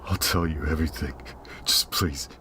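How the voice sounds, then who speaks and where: An actor playing a guilt-ridden, panicky, hard-drinking police detective who speaks haltingly in an audio drama. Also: male voice, rumbling low, steady tone, sharp tone, slight background noise. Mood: weary, confiding. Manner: halting, stammering.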